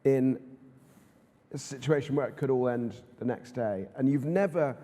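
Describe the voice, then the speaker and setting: A man interviews a guest in a conference hall, and long reverb hangs after his words. His voice is mellow and mid-pitched. He talks haltingly, with a lot of hesitation. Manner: hesitant, many fillers and restarts. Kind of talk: onstage conversation.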